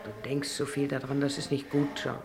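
A woman's voice: very deep voice, flat delivery, a little fast, darkish tone. An actress playing a weary, placating middle-aged wife and worried mother in a radio drama. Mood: soothing, weary. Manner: placating, restrained. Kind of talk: German radio drama acting. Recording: hissy old radio drama recording.

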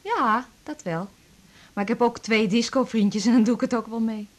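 Seventeen-year-old female patient for radio drama, played by a woman: offhand, frank, fairly high-pitched, everyday delivery. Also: normal speed, close to an everyday voice. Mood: offhand, blunt. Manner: casual, matter-of-fact. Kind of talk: naturalistic 1980s radio drama acting.

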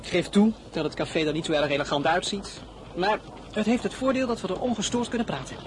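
An actor playing a courteous young crown prince with formal, charming manners. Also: male voice, sits high, lively intonation, quick pace, background noise. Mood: friendly. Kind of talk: radio play acting.

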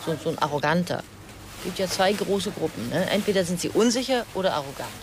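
A woman's voice: fairly low pitch, animated, fast, background noise, natural voice. A woman playing an opinionated, chatty supermarket cashier in a radio drama, judging people freely. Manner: chatty, judging, repeats words.

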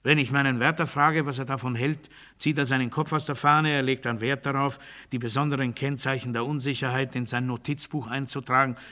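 Sharp-voiced, obsessive doctrinaire played by a man. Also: mid-range voice, steady tone, close to an everyday voice. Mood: quiet, controlled confiding. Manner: deliberate, declamatory, swinging from hushed confiding to strident proclamation. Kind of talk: literary radio play monologue.

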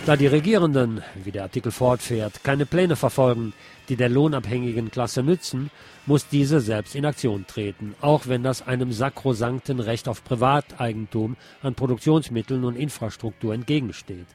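A man's voice: medium pitch, normal speed, darkish tone. A man hosting a radio programme, reading a scripted political commentary. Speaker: radio host.

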